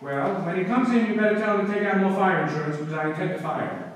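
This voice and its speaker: A man's voice: high-pitched, background noise, lightly acted. An actor playing a scheming, wisecracking male lawyer in a radio comedy before a live audience. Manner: rapid wisecracking patter. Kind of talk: live radio play recreation. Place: community meeting room with audience. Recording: echoey hall, mic far off.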